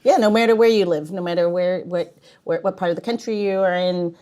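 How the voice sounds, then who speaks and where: A woman's voice: fairly low pitch, steady tone, a little fast, dark tone. A woman hosting a casual podcast chat in an upbeat, enthusiastic manner. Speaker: podcast host.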